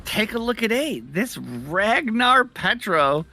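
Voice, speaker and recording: A man calls a live esports match in a high, very lively voice. He is emphatic and repeats phrases to drive them home. The tone is bright and cutting. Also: slow pace, creaky, no room sound. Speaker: esports co-commentator. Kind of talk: live game commentary.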